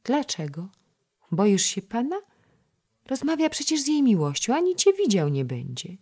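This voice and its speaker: A woman voicing a chatty, playful little girl who coaxes a boy with a high, lively childlike voice. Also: normal speed, breathy edge, exaggerated voice. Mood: coaxing.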